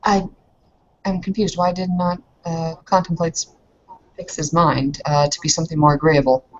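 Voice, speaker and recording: Confused young werewolf newcomer played by a woman, halting, naive and questioning, tabletop roleplay, dull lo-fi sound. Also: deep voice, little pitch movement, natural voice. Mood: puzzled. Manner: halting, questioning, repeats herself. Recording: dull lo-fi voice recording.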